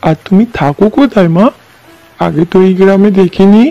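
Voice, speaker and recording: A man's voice: sits high, moderate pace, gravelly, no echo, faint music behind. A man voicing a kindly, courteous, fatherly village landlord in a cartoon, slightly muffled. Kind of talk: cartoon voice acting.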